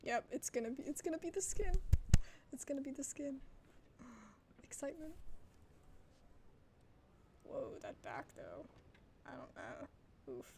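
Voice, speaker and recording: Casting: chatty female streamer, informal and thinking aloud, very animated high voice, slight background rumble. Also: measured pace, gravelly, long pauses. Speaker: game streamer. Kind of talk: casual let's-play commentary.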